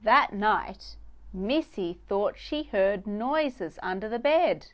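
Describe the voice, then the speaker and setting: A woman's voice: mid-range voice, expressive, slow. A woman narrating a children's storybook as a read-aloud storyteller.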